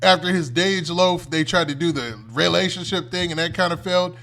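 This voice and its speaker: A man's voice: high voice, a little fast, sharp tone. An American man hosting a commentary stream, reacting off the cuff in a casual, colloquial, conversational way. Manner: conversational, colloquial, often ends phrases with "man". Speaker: host of a commentary livestream.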